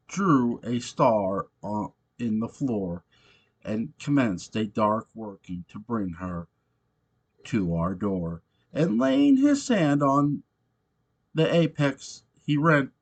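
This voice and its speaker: A man giving a theatrical, measured read-aloud of comic holiday verse, like a storyteller. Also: mid-range voice. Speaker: host of a fan channel reading aloud.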